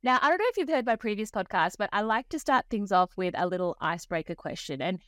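A woman's voice: medium pitch, lively intonation, fast, no room sound, studio quality. A woman hosting a pharmacy podcast, upbeat and bubbly, laughing often with her guest. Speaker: podcast host. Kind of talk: podcast interview.

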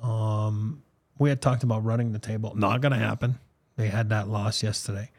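A man's voice: on the low side, fairly even intonation, normal speed, darkish tone, dry recording. A man, a former hockey player whose first language is French, co-hosting a casual sports podcast with a French accent touched by Boston, rambling with lots of ums and "you know". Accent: French, with some Boston. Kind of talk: casual sports talk with ad reads.

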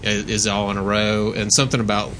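A man's voice: lowish voice, little pitch movement, brisk. A man talking casually among a group at a live convention recording. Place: convention room with live audience. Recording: live convention room with crowd behind.

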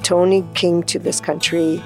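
Slow, even-toned older woman. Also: lowish voice. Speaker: relative giving a remembrance. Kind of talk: personal storytelling. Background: plucked guitar music.

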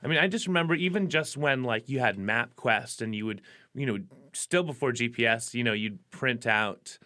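A man's voice: mid-range voice, moderate pace, bright, forceful tone. A man in his forties, a musician guest, talking casually in an interview with lots of fillers and restarts. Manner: rambling, frequent fillers and restarts.

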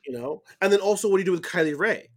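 A man in relaxed podcast chat, earnest and a little halting. He repeats himself as he works out his thoughts, and his voice sits a touch higher than most men's. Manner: earnest, hesitant, repeats words. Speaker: podcast co-host. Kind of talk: casual chat.

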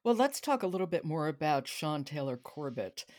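A woman's voice: low-pitched, sing-song, normal speed, a little mellow. A woman hosting a podcast, interviewing her guest in a conversational way. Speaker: podcast host.

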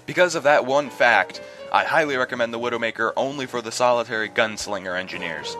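A man's voice: medium pitch, quick pace, bright, forceful tone, soft background music. A man presenting a scripted advice segment for gamers. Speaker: segment contributor giving game weapon tips.

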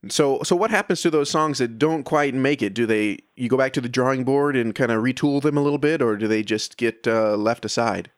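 A man hosts a casual interview in a conversational register. He feels his way through questions, halting and rewording them as he goes. The sound is dry, with little room around the voice. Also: medium pitch, no room sound.

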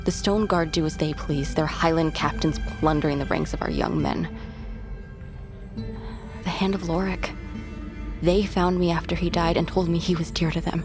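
A woman plays a wary lowland rebel in a fantasy audio drama, her voice fairly low. She is defiant and proud, speaking with measured bite over prominent music. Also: steady tone, lightly acted. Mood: bitter. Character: guarded, defiant young lowland rebel thief, wounded pride. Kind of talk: dramatic audio fiction acting. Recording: music under the voice.

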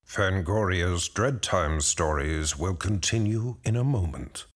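A man's voice: deep voice, slow pace. A man reading scripted announcements as the announcer of a horror audio series.

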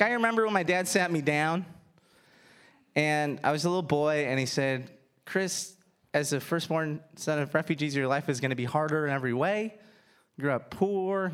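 A male stand-up comedian telling stories conversationally on a theater stage. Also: fairly high pitch. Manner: animated, conversational storytelling. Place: theater stage before a live audience.

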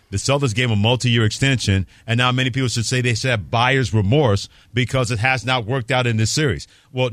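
A man's voice: medium pitch, no room sound. An American man hosting a sports talk radio show with opinionated banter, emphatic and fond of piling up phrases.

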